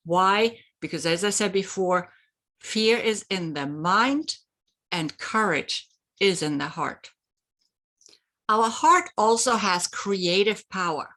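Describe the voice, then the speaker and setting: A woman's voice: medium pitch, a bit bright. A middle-aged woman whose first language is German, hosting a reflective solo talk about heart and courage and leading a short exercise, earnest and repeating herself with small restarts. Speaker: host.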